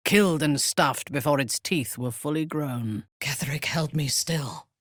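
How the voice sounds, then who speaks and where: A menacing, haughty warrior zealot from a video game. Her voice is deep and husky, recorded close and dry. She speaks slowly and formally, with imperious scorn and wide swings in inflection. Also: dry recording. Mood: grim, brooding. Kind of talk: video game character voice lines.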